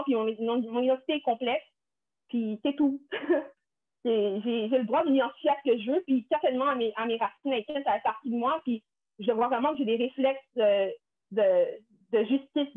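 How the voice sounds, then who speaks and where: A young woman from Quebec with a Québécois accent, speaking earnestly on a panel, restarting and repeating words often. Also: on the high side. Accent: Québécois French. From Canada, Quebec. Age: young adult. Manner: earnest, many restarts and repetitions. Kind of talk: panel discussion, personal testimony. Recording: tinny phone-like line.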